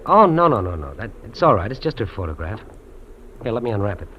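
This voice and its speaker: An actor playing a lonely, lovestruck fugitive trapper, earnest and confiding, in 1950s radio drama style. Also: male voice, fairly low pitch, big pitch swings, quick pace. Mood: wistful, tender. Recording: dull, noisy old radio recording.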